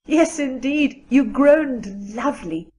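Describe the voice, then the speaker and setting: A woman's voice: sits high, slow pace, a little mellow. A woman playing a practical, chatty, loyal teenage girl with a matter-of-fact, gossipy manner in an audio drama. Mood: admiring, chatty.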